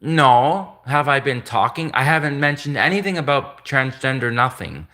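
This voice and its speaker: A man hosts a solo live stream, chatting to viewers in loose, rambling sentences and repeating his points. His voice is soft, with a bright edge, and some listeners hear it as gender-ambiguous. He sits at a middle pitch and sounds earnest. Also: lively intonation, moderate pace. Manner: repetitive, earnest, restates points often; greets viewers and reads comments aloud.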